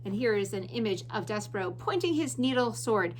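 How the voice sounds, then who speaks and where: A woman teacher giving class announcements and reading a story aloud with deliberate, expressive emphasis. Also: mid-range voice, a little hiss or hum, poor audio. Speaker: elementary school teacher.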